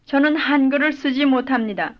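High-voiced woman on an old drill tape. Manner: measured, careful full-sentence repetitions. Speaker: model speaker in a language drill. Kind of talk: recorded language-lesson drill responses. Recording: dull lo-fi old lesson tape.

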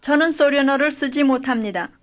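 A woman giving careful, measured full-sentence answers as the model speaker in a recorded language drill. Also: sits high. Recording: dull lo-fi old lesson tape.

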